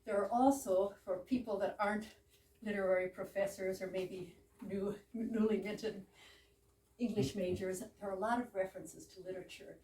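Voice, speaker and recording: A woman's voice: medium pitch, fairly even intonation, moderate pace, mellow. A woman giving an informal, explanatory introduction to a play for a live audience. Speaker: presenter introducing a play she acts in. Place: room in a private home with a small audience. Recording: echoey room, distant mic, background noise.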